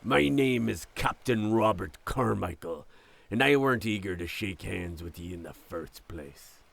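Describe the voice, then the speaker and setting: A man in an audio drama playing a gruff, commanding sea captain with a raspy, measured delivery. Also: sits low, animated, a bit bright, exaggerated voice. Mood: gruff, guarded. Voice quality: raspy, gravelly. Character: gruff, wary old-fashioned sea captain of a lumber ship. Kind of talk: cinematic audio roleplay acting.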